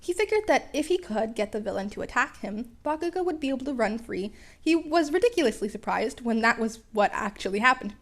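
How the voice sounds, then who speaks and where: A woman's voice: on the high side, expressive, fairly quick, a bit bright. A woman narrating a story in a casual amateur style, with wry, playful asides and stumbles over the text. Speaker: podfic reader.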